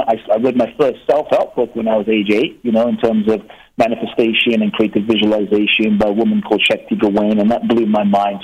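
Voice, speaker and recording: A man's voice: lowish voice, a little mellow, husky, muffled recording. A man, a guest author telling his life story in an earnest, reflective way. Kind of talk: interview talk.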